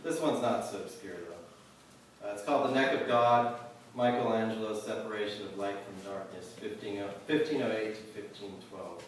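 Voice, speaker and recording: A man's voice: fairly low pitch, moderate pace. Man reading poetry in a very echoey room. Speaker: poet reading own work. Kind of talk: live poetry reading with casual asides. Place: live reading venue, indoors. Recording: roomy echo, distant mic, faint noise.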